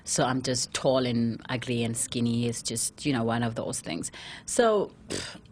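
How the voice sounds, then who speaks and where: A young woman from South Africa, a fashion model, telling her life story candidly in a casual interview, with a deep voice and many "you know" asides. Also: steady tone, unhurried, a little mellow, a little hiss or hum. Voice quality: deep, low voice.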